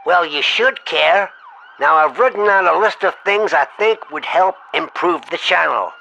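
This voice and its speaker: Man acting a blunt talent agent, foul-mouthed, bright forceful voice, creaky, sarcastic, comedy sketch. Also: on the high side, expressive, measured pace, a little hiss or hum, full character voice, low-quality recording. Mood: nagging. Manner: blunt, scolding, sarcastic, talking over the other. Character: exasperated, foul-mouthed male talent agent scolding his client. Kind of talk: comedic mock phone-call sketch.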